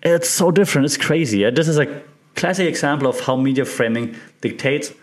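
A man with a German accent talks as a solo podcast host at an average male pitch. He explains things informally and conversationally. His delivery is halting, with repeated words and small check-ins aimed at the listener.

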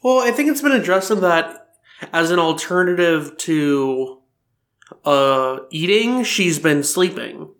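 The sharp, slightly high-voiced guy at a fan roundtable podcast who explains how it all fits together. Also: animated, slow pace. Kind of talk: casual fan discussion.

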